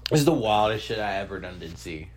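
A man's voice: lowish voice, animated, fast, a crowd in the background. A man hosting a podcast in casual comedic chat, speaking in a profane, slangy, opinionated way. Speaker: podcast host. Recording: clearly noisy, humming background.

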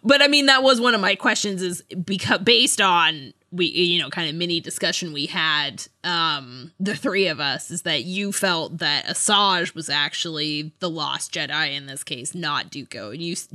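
A woman's voice: lowish voice, moderate pace, hardly any pauses, bright, forceful tone. A chatty, giggly woman co-hosting a casual podcast discussion about books. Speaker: book podcast co-host.

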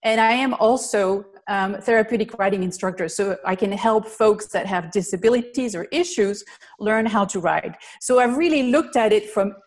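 A woman's voice: mid-range voice, moderate pace. A woman from Belgium, a physical therapist giving an online presentation in an earnest, explanatory way over a video call. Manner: earnest, explanatory, lists things step by step. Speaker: physical therapist and riding instructor presenting a webinar.

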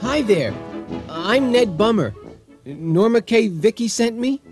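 A man's voice: high voice, expressive, slow, over music. An actor playing a mild, courteous earthman in a comic radio drama, soothing and a little hesitant. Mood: tentative. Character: mild, obliging, reassuring earthman in contact with aliens. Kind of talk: comic radio theater acting.

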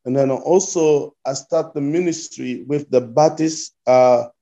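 A man in his fifties with a Congolese accent, a pastor answering interview questions haltingly and repeating his phrases as he goes. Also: a little high, warm tone. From Democratic Republic of Congo. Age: 50s. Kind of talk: interview answers, recounting life story.

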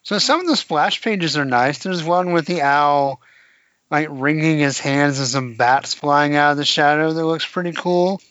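Bright-voiced man chatting about comics. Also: on the high side, measured pace, no room sound, dull audio. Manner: animated, laughs often, acts out quotes. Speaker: podcast co-host. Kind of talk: casual chat about comics.

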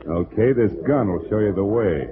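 A man's voice: lowish voice, animated, moderate pace, music in the background, close to an everyday voice. A man playing a menacing gangster thug with blunt, threatening slang in a 1940s radio crime drama. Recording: noisy, tinny 1940s radio recording.

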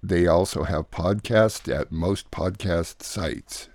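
A man's voice: sits low, takes it slowly, a little mellow, no echo. A man hosting a radio news show, reading scripted announcements.

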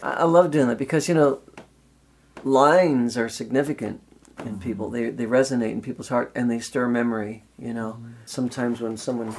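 An older man, a guitarist, reminiscing in a relaxed interview, rambling and full of "you know". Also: mid-range voice, normal speed, vocal fry.

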